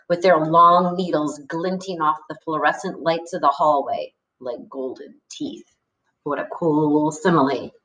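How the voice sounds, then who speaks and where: Lowish-voiced woman reading aloud, echoey. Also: fairly bright tone. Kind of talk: expressive read-aloud of a novel. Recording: echoey room, distant from the mic.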